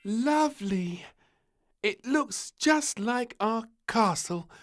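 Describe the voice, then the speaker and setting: A man voicing an excitable, bossy little princess in a storybook read-aloud, with high squeals and bright exclamations. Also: very slow, exaggerated voice. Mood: excited.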